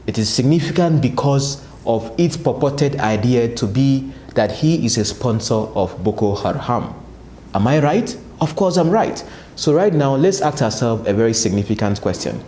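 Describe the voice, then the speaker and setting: A man's voice: mid-range voice, dark tone, reverberant, a little hiss or hum. A Nigerian man giving political commentary to his viewers, asking and answering his own rhetorical questions. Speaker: political analyst, channel host. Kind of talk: commentary to camera.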